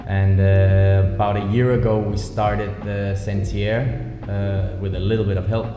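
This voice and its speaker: A man from Denmark, founder of a watch brand, speaking in a measured, thoughtful way in an interview in an office. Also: fairly low pitch, level tone, muffled recording, background noise. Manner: measured, thoughtful, many ums. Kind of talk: relaxed interview conversation. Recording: echoey office with music bed.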